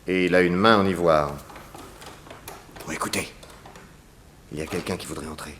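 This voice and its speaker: An actor playing a brisk, watchful detective in a 1960s French radio serial, giving urgent, conspiratorial orders. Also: male voice, low voice, fairly even intonation, a bit bright. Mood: hushed urgency. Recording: noisy old radio recording with clicks.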